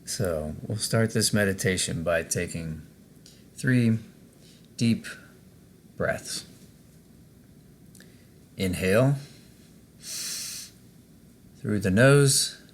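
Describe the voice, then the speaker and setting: A man narrating a guided meditation as its host, in a measured way, pausing between short phrases. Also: lowish voice, a little hiss or hum.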